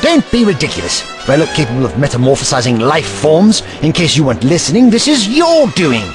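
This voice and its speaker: A man acting an animated, commanding doctor hero. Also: a little high, fairly quick, over music. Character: urgent, protective male alien doctor and investigator. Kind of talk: audio drama acting.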